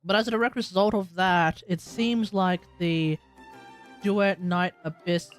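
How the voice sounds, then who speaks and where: Male game streamer, Australian, fairly high voice, casual live chat, faint music underneath. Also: steady tone, a little slow, a bit bright.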